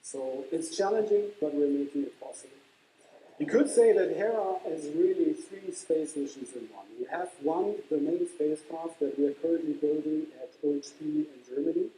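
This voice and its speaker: A man, chief engineer of a space mission, explaining it in a measured, explanatory way in a documentary interview. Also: fairly high pitch, mellow. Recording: roomy video clip with light hiss.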